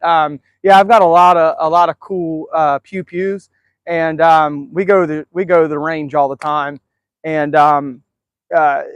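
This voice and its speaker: A man with a fairly high voice talks casually to his viewers from outside in his yard. He rambles in an easygoing way, with plenty of filler words. His voice sounds dry and close, with no room echo. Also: clean tone. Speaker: live stream host. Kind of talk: casual live-stream chat. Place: outdoors in a backyard.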